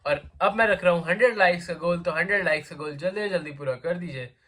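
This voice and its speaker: A man's voice: high-pitched, fast talker, bright, forceful tone. A man hosting a job vacancy video, explaining in casual Hindi mixed with English, with a sore throat and an occasional cough. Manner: chatty, repetitive fillers, conversational address to viewers. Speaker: job vacancy channel host.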